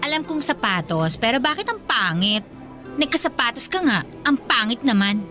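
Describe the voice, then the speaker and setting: A sharp, bright, swooping voice makes a snobbish teenage bully in a radio drama. She taunts and sneers with open contempt. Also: a little high, very animated, normal speed, faint music behind. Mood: sneering contempt. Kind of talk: Filipino radio drama acting.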